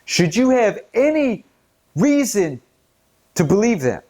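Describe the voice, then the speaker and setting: A man's voice: high voice, sing-song, takes it slowly, darkish tone. An American man hosting his own commentary channel, talking earnestly and growing indignant as he lays out a case. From United States. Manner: earnest, indignant, rising emphasis at key points. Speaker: political commentary channel host. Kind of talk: scripted-sounding solo commentary.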